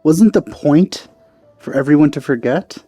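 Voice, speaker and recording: A man giving casual let's-play commentary, musing aloud in a halting, informal way. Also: on the high side, mellow, husky, dry recording, soft background music. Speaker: gaming video host.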